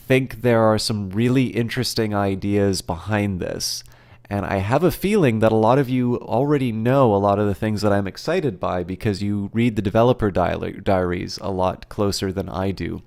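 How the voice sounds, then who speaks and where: A man hosting a live game stream in casual chat, his voice slightly rough after being sick, talking in a halting, rambling way as he thinks aloud and greets viewers. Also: fairly low pitch, darkish tone. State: getting over a recent illness.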